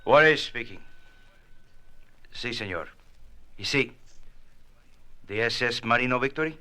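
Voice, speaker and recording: A man's voice: medium pitch, lively intonation, a little slow, sharp tone. A man playing a pompous, impatient Cuban official in radio drama, with a Spanish accent and formal, florid phrasing, on an old recording. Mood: brusque, harried. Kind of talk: 1940s-50s radio drama acting.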